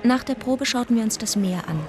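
An actress playing an observant, gently wry woman narrator, telling her travel story in a measured radio-drama style. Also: on the high side, lightly acted. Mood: reminiscing. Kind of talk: scripted first-person narration. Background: music.

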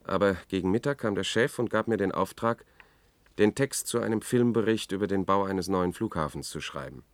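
An actor playing a haunted reporter whom nobody believes, narrating in a German science-fiction radio drama with brooding, measured intensity. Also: male voice, low voice, dry recording, close to an everyday voice. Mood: tense, unravelling. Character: haunted male reporter, disbelieved, obsessive and increasingly unravelling.

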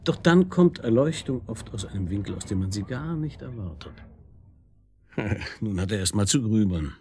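An actor playing a shrewd homicide detective in a radio crime drama, probing with dry irony. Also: male voice, fairly low pitch, very animated, normal speed, a little mellow, a little hiss or hum. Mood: wry, needling. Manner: ironic, probing, chuckling understatement.

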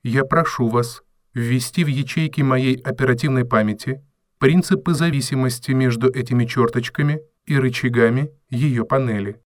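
A man plays a male robot servant in an audio drama, earnest and literal-minded. He speaks in formal, precise, deferential phrases at a middle pitch, recorded very dry and close with no room around him. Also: moderate pace, no room sound, close to an everyday voice.

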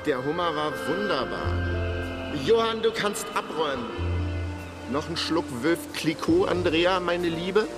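An actor playing a wry, hapless investigator who narrates his own case in a deadpan way in a radio crime drama. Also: fairly high pitch, unhurried, lightly acted. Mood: eager, dreamy. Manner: laconic, deadpan. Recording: music behind the voice.

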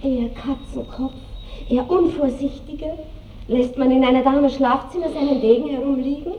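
A woman's voice: high voice, steady tone, moderate pace, close to an everyday voice. An actress playing an aristocratic married lady in a radio play, tender, teasing and commanding toward her lover, refined and theatrical. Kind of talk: mid-century German radio play acting. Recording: echoey, muffled old radio recording with hiss.

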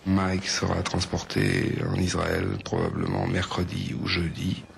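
A man giving a halting spoken statement, on a noisy recording. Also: sits low, sing-song, gravelly.

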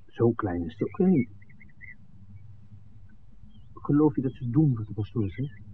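Wary visiting film maker played by a man, casual and questioning, medium pitch, old radio drama. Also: lively intonation, a little slow, natural voice. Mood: curious, amused. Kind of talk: 1960s radio play acting. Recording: tinny old radio recording with noise.

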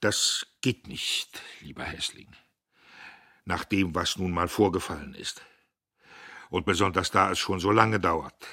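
A man's voice: low-pitched, no room sound, studio quality, exaggerated voice. A man doing a shaken, pleading old father. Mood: uneasy. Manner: slow, hushed, trembling. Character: aging father, pleading, shaken, near tears.

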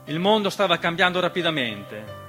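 A man delivering a scripted radio theatre monologue, measured and dramatic, with deliberate pauses. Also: a little high, sing-song, sharp tone. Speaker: stage storyteller and narrator. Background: piano music.

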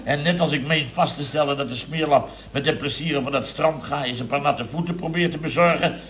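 An actor playing a blustering, aggrieved building contractor boss, telling of his mishaps with indignant, drawn-out emphasis in 1970s radio comedy style. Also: a little high, a bit bright, lightly acted. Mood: sardonic. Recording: dull old radio recording.

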